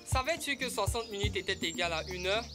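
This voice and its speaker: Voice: medium pitch, lively intonation, fast talker, over music, natural voice. An actor voicing a patient cartoon animal friend who explains things in a didactic way. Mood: patient, explaining. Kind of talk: educational cartoon acting.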